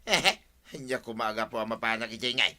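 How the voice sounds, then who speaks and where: An actor playing a scheming, hot-tempered gambler husband in an Ilocano radio comedy-drama, with exclamatory delivery. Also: male voice, medium pitch, a little fast, bright, forceful tone. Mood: defensive.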